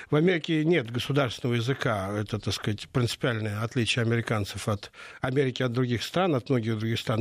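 A man's voice: mid-range voice, no room sound, studio quality. A middle-aged man giving interview answers, talking with hesitations, frequent fillers and restarts. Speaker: interview guest.

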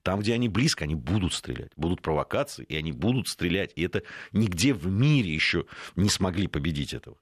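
A man with a very deep voice making his case on a political talk show, lifting his pitch sharply when he hammers home a point. The sound is close and dry. He is recorded in a studio. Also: big pitch swings, fast talker, breathy edge, no room sound. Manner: argumentative, rising to stress points.

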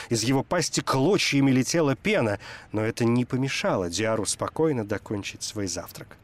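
A man presenting on the radio, telling stories and talking about literature in an expressive way. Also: medium pitch. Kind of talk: expressive storytelling and literary talk.

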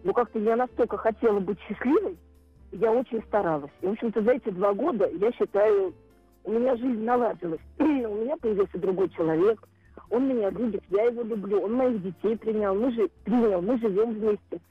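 A woman's voice: mid-range voice, brisk, slightly rough, no echo, faint music behind. A woman in her forties calling in to a radio show, speaking earnestly and emotionally, in a confiding way. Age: 40s. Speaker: caller. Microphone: telephone. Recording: phone line.

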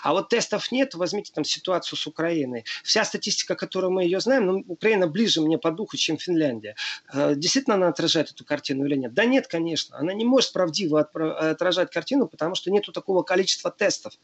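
A man's voice: on the high side, expressive, fast talker, slightly rough. A man speaking as a guest on a radio talk show, earnestly explaining his views and hesitating often with filler sounds.

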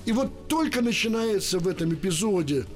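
An older man from Ukraine tells an anecdote as a radio guest, his voice leaping up and down as he acts out each quoted line. His voice sits a little high for a man. Soft music plays faintly behind him. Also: big pitch swings, brisk. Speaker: interpreter, interview guest. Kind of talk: storytelling in a radio interview.

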